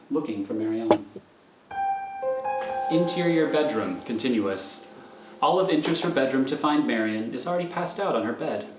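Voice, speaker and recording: A man reading scripted stage directions as the narrator of a radio drama. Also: mid-range voice. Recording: echoey room, prominent music behind.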